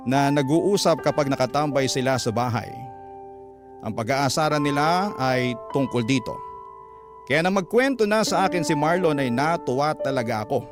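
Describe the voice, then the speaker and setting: A man reads a heartfelt-letter style story for radio drama. His pitch is on the high side, and music plays clearly behind him. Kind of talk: first-person dramatic letter reading. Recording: clear music bed under voice.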